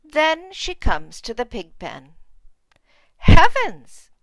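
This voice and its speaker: A woman's voice: mid-range voice, big pitch swings, slow, fairly bright tone, no echo. A woman reading a children's storybook aloud as narrator. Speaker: storybook reader.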